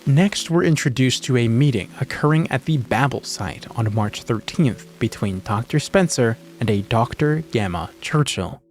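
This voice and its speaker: A man's voice: mid-range voice, lively intonation, moderate pace, hardly any pauses, soft background music. A man narrating an analytical video essay, scripted but with casual asides.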